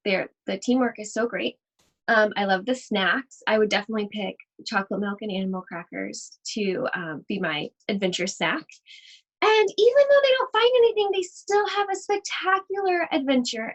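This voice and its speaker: Slow, animated woman reading to children. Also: fairly high pitch, low-quality recording. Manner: expressive, addresses young listeners directly. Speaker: teacher reading a picture book aloud. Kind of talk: children's read-aloud with chatty asides.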